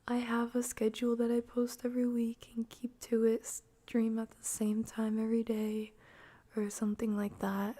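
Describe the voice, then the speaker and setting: Woman, livestream host. Soft, breathy, half-whispered delivery, slow and gentle, relaxed tone, casual chat. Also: on the high side. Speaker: game streamer and host.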